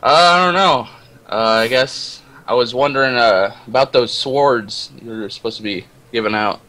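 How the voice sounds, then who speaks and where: A man's voice: mid-range voice, normal speed, pushed and bright, poor audio. A man calling into a live show, chatting casually in a laid-back, slightly hesitant way. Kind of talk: casual chat.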